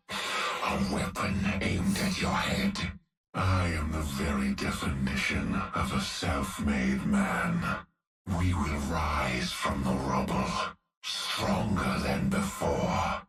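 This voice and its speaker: An actor playing a hulking, menacing male cyborg executioner, speaking in a slow, gravelly growl with heavy breaths. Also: extremely low pitch, fairly bright tone, heavily acted. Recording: roomy, distant game audio with music.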